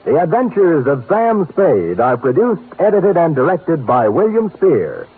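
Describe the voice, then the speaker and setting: A man's voice: fairly high pitch, huge pitch swings, unhurried, faint music behind, heavily acted. A man announcing promos and credits in a polished, formal 1950s radio style. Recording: tinny old 1950s radio recording.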